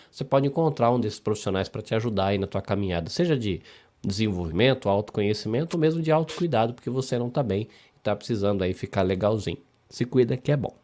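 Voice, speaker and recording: A man's voice: medium pitch, darkish tone. Chatty Brazilian man hosting a podcast. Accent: interior São Paulo Brazilian Portuguese. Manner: chatty, upbeat, repeats phrases. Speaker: podcast host. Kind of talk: casual live podcast chat.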